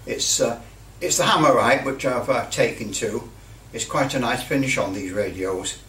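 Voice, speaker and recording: A man giving a casual hands-on commentary as a hobbyist, halting and muttering a little to himself as he works. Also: mid-range voice, a bit bright. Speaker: hobbyist presenter. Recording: roomy, distant mic with light noise.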